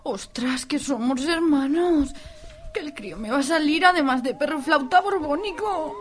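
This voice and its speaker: A woman's voice: high voice, expressive, moderate pace, faint music behind. A woman with an accent from Spain playing a snobbish young daughter in an amateur radio soap, incredulous and exclaiming. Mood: appalled.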